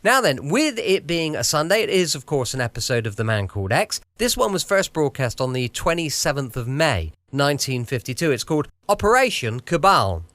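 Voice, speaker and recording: A man's voice: on the high side, very animated, brisk, hardly any pauses, a bit bright, a little hiss or hum. A man from southwest England, chatting in a rambling, friendly way as a podcast host in his home studio. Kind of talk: casual chat.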